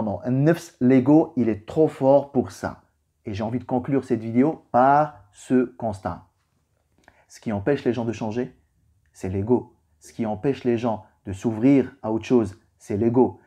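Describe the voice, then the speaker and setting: A man's voice: medium pitch, mellow. A young man, a native Arabic speaker, giving an informal motivational religious reflection in an emphatic way, stressing points with repetition. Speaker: vlogger giving a personal reflection.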